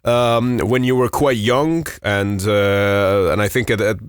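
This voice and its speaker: A man's voice: on the low side, steady tone, takes it slowly, smooth, no echo, studio quality. A man hosting a podcast, asking friendly, meandering questions full of fillers like "you know" and "um". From Iran. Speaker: podcast host, interviewer. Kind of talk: casual interview conversation.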